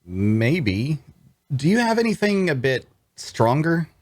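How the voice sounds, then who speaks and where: A man's voice: a little high, sing-song, takes it slowly, dry recording, clean studio sound, exaggerated voice. An actor playing an earnest, cash-strapped traveler, telling his tale in first-person fantasy narration and dialogue. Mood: polite. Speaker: narrator and lead actor in a table read.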